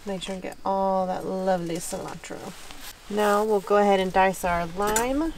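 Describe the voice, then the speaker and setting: Woman host, chatty cooking walkthrough, heard from a distance over clear background noise. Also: mid-range voice, moderate pace, darkish tone. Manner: chatty, narrates each step. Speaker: cook and channel host. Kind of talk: casual cooking demo. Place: outdoors at night by a camper kitchen.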